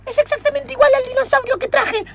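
A woman's voice: fairly even intonation, quick pace, vocal fry, heavily acted. A woman voicing an eager, earnest little boy explorer in an improvised radio play, with a childlike voice. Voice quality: childlike, squeaky. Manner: eager, pleading, precocious.

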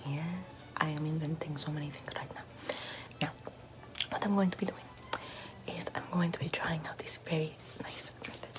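A woman's voice: low-pitched, steady tone, moderate pace, long pauses, close to an everyday voice, low-quality recording. A woman whispering in an ASMR roleplay, voicing a vain, haughty, self-absorbed noblewoman doing makeup in an airy, self-satisfied tone.

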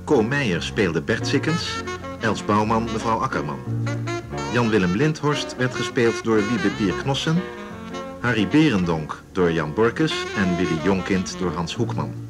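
Clear brass and saxophone music plays behind a male radio announcer. He has a deep voice and reads scripted drama credits in a formal list. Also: lively intonation, measured pace.

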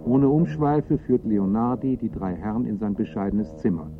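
An actor playing the sober narrator of a crime story, reading scripted radio drama narration. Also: male voice, on the low side, moderate pace, natural voice. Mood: factual, suspenseful. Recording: thin phone-like sound, faint music.

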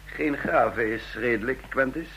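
An actor playing an ageing, hard-of-hearing cryptography chief in a Dutch radio drama, dryly ironic and condescending, sometimes hesitant. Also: male voice, mid-range voice, a little slow, a bit bright, slight background noise, low-quality recording. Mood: dry, evasive. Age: older. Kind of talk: Dutch radio drama dialogue and first-person narration.

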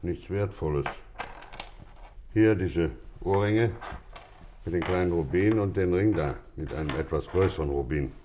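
An actor playing a sober, precise police doctor in a German radio play, reporting in a clipped, clinical manner. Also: male voice, deep voice, moderate pace, pauses often, warm tone, a little hiss or hum, close to an everyday voice. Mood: matter-of-fact. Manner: clipped, clinical, matter-of-fact.

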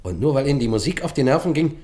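An actor playing an insistent police sergeant in a radio drama, questioning in a commanding, probing and deliberate manner. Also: male voice, mid-range voice, expressive, mellow, natural voice. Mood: businesslike. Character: brisk, insistent male police sergeant interrogating a suspect. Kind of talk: German radio drama acting.

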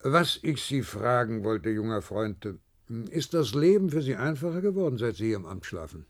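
Skeptical superior, patronizing, hemming, pointed, mid-pitched, very lively intonation, radio drama. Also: male voice, moderate pace. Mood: patronizing, probing. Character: patronizing male office boss, skeptical and probing. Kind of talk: German science-fiction radio play acting.

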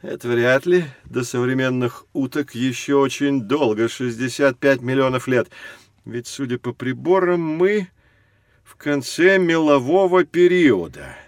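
An actor playing a fussy, kindly professor who guides children in an educational audio drama, excitable and exclamatory, yet gentle when explaining. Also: male voice, mid-range voice, measured pace, dry recording, clean studio sound.

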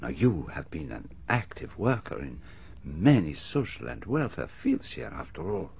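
An actor playing a fastidious male detective, husky voice, polite and precise, in a radio drama. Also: a bass voice, wildly swooping pitch, exaggerated voice. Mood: probing. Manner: polite, precise, gently insistent questioning. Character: fastidious middle-aged male Belgian detective, courteous and probing. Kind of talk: BBC radio drama acting. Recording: dull archival radio recording.

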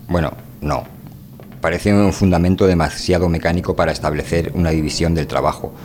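Man playing a low-voiced, reflective physicist. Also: fairly even intonation, a little fast, darkish tone, a little hiss or hum, lightly acted. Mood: thoughtful, questioning. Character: calm, inquisitive male physicist from an anarchist world. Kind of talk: radio drama reading.

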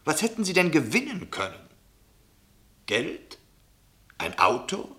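Bright, slow-spoken baffled sceptic. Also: male voice, on the high side, huge pitch swings, heavily acted. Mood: puzzled probing. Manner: questioning, incredulous. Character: sceptical, baffled male outsider, down-to-earth. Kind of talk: radio play acting.

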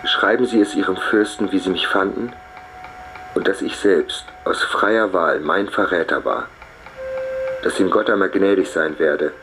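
An actor playing a proud, defiant fugitive outlaw in a German radio play, speaking formally and theatrically. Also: male voice, deep voice, gravelly, noisy background.

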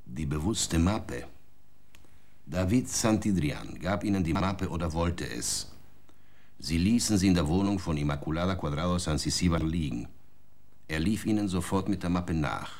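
An actor playing a stern police inspector in a radio drama, talking in curt, commanding questions and warnings. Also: male voice, sits low, lively intonation, moderate pace, darkish tone. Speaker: police interrogator.

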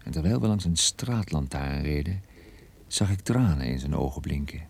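An actor playing a wry, sardonic male private detective, narrating his own case in a measured radio drama style. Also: extremely low pitch, animated, creaky, darkish tone, breathy edge, full character voice. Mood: wry, reflective.

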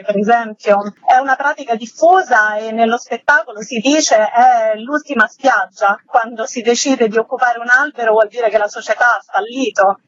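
A woman's voice: on the high side, no echo. A woman, a director being interviewed on radio, explaining her work earnestly. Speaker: interview guest, theatre director. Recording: dry, dull band-limited sound.

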